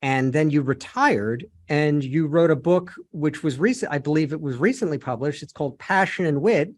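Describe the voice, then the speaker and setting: A male podcast host interviews a guest, stringing long, carefully built questions together with small restarts. His voice sits a little higher than most men's. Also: normal speed.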